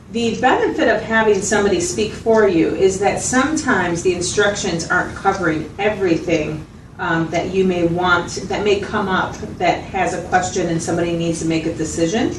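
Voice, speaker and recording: A woman playing a patient, attentive mental health clinician who explains things in a measured way and checks for agreement. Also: medium pitch, lightly acted. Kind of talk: acted clinical interview, explanatory. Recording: roomy, distant mic, noticeable background noise.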